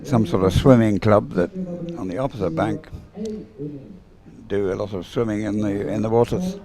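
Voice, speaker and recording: A man's voice: lowish voice, animated, normal speed, warm tone. A man giving unscripted live sports commentary in a hesitant, rambling, conversational way. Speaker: race commentator. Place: outdoors beside the water at a regatta.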